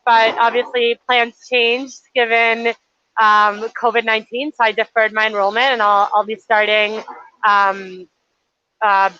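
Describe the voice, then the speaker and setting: A woman's voice: fairly high pitch, bright, forceful tone, smooth. A woman in her twenties from Chile, an intern answering interview questions in a friendly, articulate way with light fillers. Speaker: nonprofit intern. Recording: lo-fi livestream audio.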